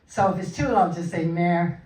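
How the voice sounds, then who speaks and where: A woman with a deep voice, talking to a crowd before she sings, bubbly and laughing. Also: normal speed, darkish tone. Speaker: guest singer. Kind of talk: chatty remarks to a crowd, then singing. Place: church hall at a commemoration event. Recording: echoey hall from far off.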